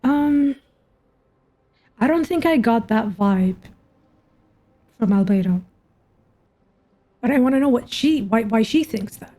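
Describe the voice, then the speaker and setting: A woman's voice: fairly high pitch, lively intonation, slow pace, pauses often, dark tone. A woman giving casual reaction commentary as she plays a game, informal and musing aloud. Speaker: gaming content creator.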